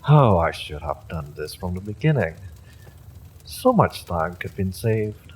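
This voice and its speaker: A man's voice: sits low, big pitch swings, slow pace, long pauses, a little mellow, a little hiss or hum, exaggerated voice. A man playing an evil sorcerer in an audio roleplay, smug and silkily menacing, with a mock-polite, gloating delivery. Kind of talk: dramatic villain monologue, audio roleplay.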